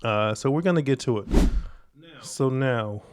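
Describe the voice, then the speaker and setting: A man's voice: mid-range voice, normal speed, smooth. A man hosting a reaction video, giving casual, conversational commentary in an informal, slangy way.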